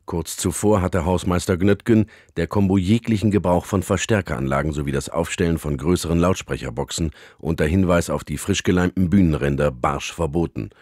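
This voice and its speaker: An actor playing a grave, deadpan documentary chronicler, narrating a radio comedy in a portentous manner. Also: male voice, deep voice, fairly quick, hardly any pauses, no echo, studio quality. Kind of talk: mock documentary narration, scripted.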